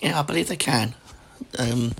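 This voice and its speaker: A man's voice: mid-range voice, normal speed, vocal fry, a crowd in the background. A man, hosting a casual podcast chat and laughing often. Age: 40s. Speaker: podcast host.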